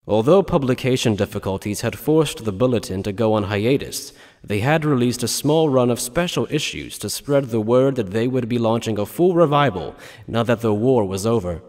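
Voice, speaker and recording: A man's voice: mid-range voice, darkish tone. A man reading scripted dramatic audiobook narration. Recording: long reverberant tail on voice.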